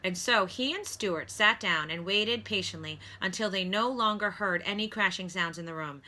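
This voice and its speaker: A woman reading a children's story aloud in an expressive narrating style, like a teacher to pupils. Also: medium pitch, normal speed.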